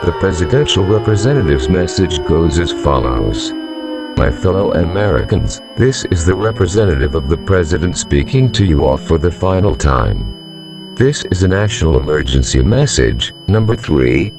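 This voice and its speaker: Very deep gravelly man playing solemn government spokesman. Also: sing-song, normal speed, music in the background. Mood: formal. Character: grave male presidential representative delivering a doomsday broadcast. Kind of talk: scripted emergency alert announcement. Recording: humming, faintly noisy audio.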